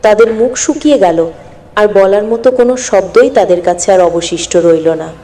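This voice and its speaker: A woman's voice: medium pitch, lively intonation, moderate pace, warm tone. A woman narrating a scripted moral story in an earnest, dramatic storytelling manner. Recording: long hall-like reverb.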